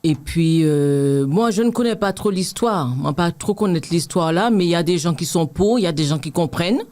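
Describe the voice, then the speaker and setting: A woman's voice: lowish voice, lively intonation, normal speed, dark tone. A woman in her fifties with a Martinican accent, chatting earnestly as an interview guest and reflecting on accepting herself. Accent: Martinican French. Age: 50s. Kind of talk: casual interview chat.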